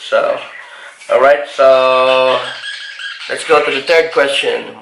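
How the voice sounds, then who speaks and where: A forty-year-old man from the Philippines answering viewer questions in a casual vlog, halting with many fillers and restarts. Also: mid-range voice, fairly even intonation, vocal fry, reverberant, noisy background. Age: 40s. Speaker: car vlogger.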